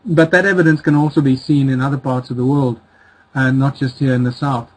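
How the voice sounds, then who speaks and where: A man's voice: medium pitch, fairly even intonation, fairly quick, rough recording. A middle-aged man from the Czech Republic, raised in South Africa, speaking as an interview guest in a rambling way with many restarts. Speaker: guest author. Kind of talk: casual interview talk.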